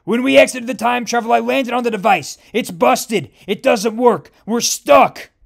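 A man's voice: very high-pitched, normal speed, gravelly, voice pushed up, full character voice. Brief: man playing a battle-worn male soldier, terse and grim. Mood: panicked.

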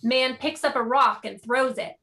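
Woman, play narrator, scripted stage directions, slightly high pitch. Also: moderate pace, sharp tone.

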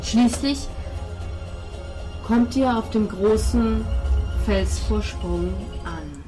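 A woman's voice: mid-range voice, very slow. A woman, narrating a tabletop role-playing game as game master. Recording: loud music under the table talk. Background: music.

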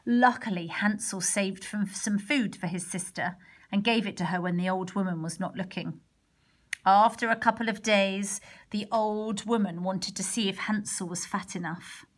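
A woman reading a picture book aloud to young children, expressive, with chatty exclamations and questions along the way. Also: mid-range voice, moderate pace. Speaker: primary teacher reading a storybook to children. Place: indoors, picture book held over a wooden table.

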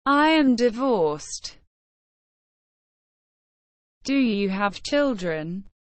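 A woman reading short English phrases for learners, slow and careful, evenly spaced. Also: on the high side, lively intonation, no echo.

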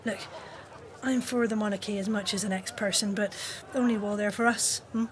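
A woman's voice: mid-range voice, fairly even intonation, a little fast, crowd noise, background noise, lightly acted. A woman playing a fed-up, outspoken townswoman, indignant and forthright, in an audio drama. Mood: exasperated.

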